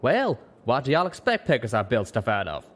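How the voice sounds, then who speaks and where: A man's voice: medium pitch, big pitch swings, a little fast, voice pushed up. A man voicing a confident, teasing male pegasus pony with a folksy drawl, in an audio story. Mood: amused, proud.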